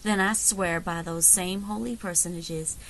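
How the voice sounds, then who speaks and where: A woman playing a confident, folksy frontier leader in an audio drama, wry and commanding, with calm menace. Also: fairly low pitch, a little slow, a little hiss or hum, rough recording. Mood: cool, commanding.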